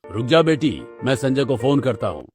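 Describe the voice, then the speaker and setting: A man voicing a patient middle-aged father in a cartoon story, calmly reasoning and admonishing. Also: mid-range voice, moderate pace, faint music behind, natural voice. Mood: calmly advising. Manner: calm, admonishing.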